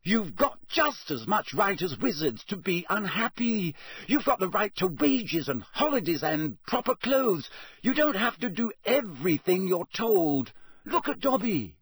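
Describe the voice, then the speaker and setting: A man's voice: high-pitched, animated, moderate pace, hardly any pauses, pushed and bright, breathy edge, no room sound, voice pushed up, heavily acted. A man voicing an earnest teenage girl, insistent and indignant.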